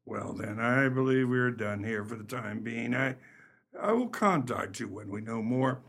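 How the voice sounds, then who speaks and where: A man acts as a methodical, deferential police detective in a radio play. His voice is gravelly and husky, with lively rises and falls, and his delivery is polite and a little halting. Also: mid-range voice, exaggerated voice. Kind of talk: amateur radio play acting.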